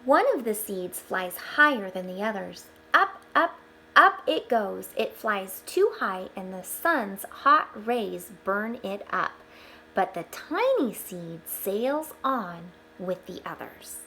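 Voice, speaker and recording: A woman reading a picture book aloud to children, expressively, pausing for explanatory asides. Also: medium pitch, vocal fry, a little hiss or hum, low-quality recording. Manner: expressive, with hushed asides pointing things out.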